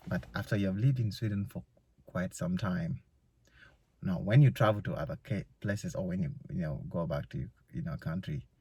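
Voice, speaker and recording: A man's voice: deep voice, warm tone, no echo. A young man from Uganda, talking casually to camera in a loose vlog full of "you know" fillers. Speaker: vlogger. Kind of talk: casual solo vlog monologue.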